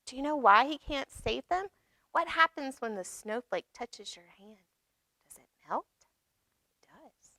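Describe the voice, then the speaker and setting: A woman reading aloud at storytime for young children, expressive, pausing to explain and ask questions. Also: a little high, dry recording, low-quality recording. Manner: expressive, asks listeners questions and explains words. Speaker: children's library storytime reader.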